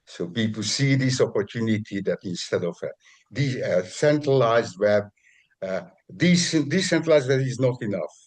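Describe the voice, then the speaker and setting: A man on a video call explaining his work in a halting way, often restarting and repeating words. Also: mid-range voice, expressive. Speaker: guest describing his work. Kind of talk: casual video call conversation.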